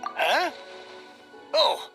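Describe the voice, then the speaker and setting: A man playing an anxious, downtrodden small merchant in a video game, stammering and excitable. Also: drawn-out words, soft background music. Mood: wary, flustered. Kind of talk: video game character acting.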